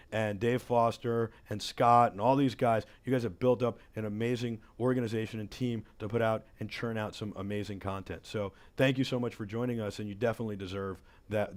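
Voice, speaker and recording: A man in his thirties hosting a talk show in a studio, hoarse from a cold, talking with upbeat energy into a headset mic. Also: fairly low pitch, moderate pace. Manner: energetic, enthusiastic promo patter. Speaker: host. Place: studio with city backdrop.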